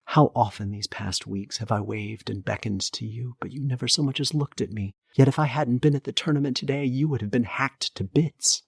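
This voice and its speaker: A man's voice: sits low, brisk, hardly any pauses, no echo, clean studio sound, close to an everyday voice. A man voicing a passionate, anxious young noblewoman in a storybook, pleading and emotive. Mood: amorous, pleading. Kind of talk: dramatic storybook reading.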